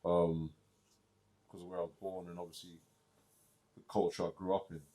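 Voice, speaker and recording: A man's voice: low-pitched, level tone, moderate pace, mellow. A man co-hosting a casual book discussion podcast, laid-back and often murmuring agreement. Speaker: podcast co-host.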